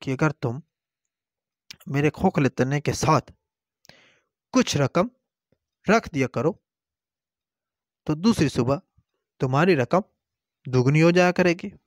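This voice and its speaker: Man voicing a slow, coaxing talking tree. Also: a little high, expressive, no echo, studio quality. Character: talking tree pleading and promising riches.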